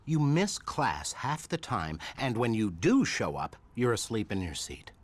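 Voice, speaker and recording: A stern science professor. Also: male voice, fairly low pitch, sing-song, measured pace. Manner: stern, lecturing. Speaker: university professor.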